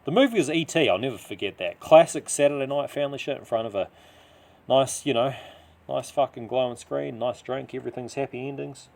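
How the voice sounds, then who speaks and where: A man voicing a rough-living taxi driver with a dark past, rambling confidingly and insistently in slangy New Zealand speech. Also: mid-range voice, fairly quick, voice pushed up, lightly acted. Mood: bitterly nostalgic. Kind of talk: character dialogue in audio story.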